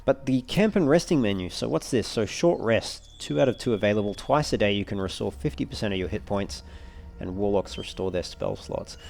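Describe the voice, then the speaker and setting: Male let's player, low-pitched, talking casually through a game and reasoning aloud as he goes. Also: expressive, a little fast, gravelly, hardly any pauses, a little mellow. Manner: thinks aloud while reading menus. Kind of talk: casual gameplay walkthrough commentary.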